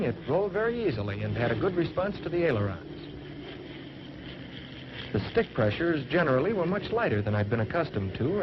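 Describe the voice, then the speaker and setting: An American man playing an earnest fighter pilot trainee, narrating a 1940s training film in measured, matter-of-fact phrases, on an old film soundtrack. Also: mid-range voice, close to an everyday voice. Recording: muffled, noisy vintage 1940s film soundtrack.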